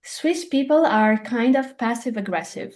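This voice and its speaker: A woman's voice: fairly high pitch, animated, unhurried, darkish tone. A woman from Romania, a native Romanian speaker, chatting casually and frankly in a discussion. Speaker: participant in a discussion. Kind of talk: casual chat.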